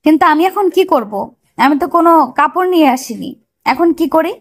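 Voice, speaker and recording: Audio-story bride , acted by a woman: young, shy but feisty, high voice. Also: lively intonation, moderate pace. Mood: worried protest. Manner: bashful, protesting.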